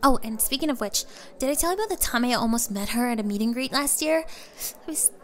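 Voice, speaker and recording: A woman playing an excitable young female fan in an audio roleplay, chatty and gushing with breathless enthusiasm. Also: on the high side, fast talker. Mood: excited, chatty. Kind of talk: ASMR roleplay acting, speaking to the listener.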